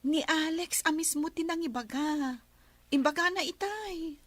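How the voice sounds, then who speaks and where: A woman acting a gentle, cheerful young daughter in a radio drama. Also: sits high, a little slow, dry recording. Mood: confiding.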